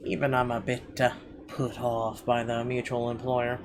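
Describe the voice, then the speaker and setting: A man's voice: medium pitch, flat delivery, normal speed, background noise. A man hosting a gaming video, reading game narration aloud and adding casual, irreverent, profane reactions. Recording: game music clearly behind the voice. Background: game music.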